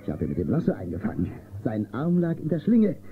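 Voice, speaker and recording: An actor playing a boastful, excitable little alien deputy in a children's radio play. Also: male voice, mid-range voice, fast. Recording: muffled phone-like old cassette, noisy.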